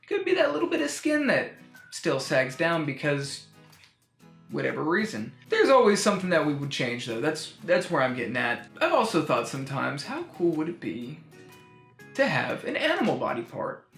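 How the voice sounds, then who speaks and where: A man with a slightly high voice delivers a wry, rambling comic monologue as a show host. He keeps a mock-serious tone throughout. The recording is echoey, with music playing underneath. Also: fairly bright tone. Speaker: host of a comedic storytime show. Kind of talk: comic monologue reviewing a children's book. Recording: roomy echo, music underneath.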